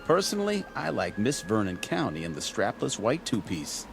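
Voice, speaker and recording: A man's voice: lowish voice, huge pitch swings, moderate pace, creaky, background noise, full character voice. An actor playing a brash, jittery space crewman in an audio drama, slangy and wisecracking. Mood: sardonic.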